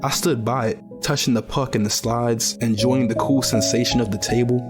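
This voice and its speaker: An actor playing a jaded, cautious young survivor who narrates an audio drama in a weary, skeptical way. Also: male voice, medium pitch, fairly even intonation, moderate pace, over loud music, close to an everyday voice. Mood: reflective.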